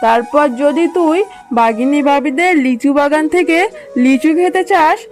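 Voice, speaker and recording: A high, meek mother jackal from a children's cartoon, voiced by a woman. She is gentle and deferential, a poor maid who pleads and soothes. Music plays clearly behind the voice. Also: normal speed. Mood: worried, explaining.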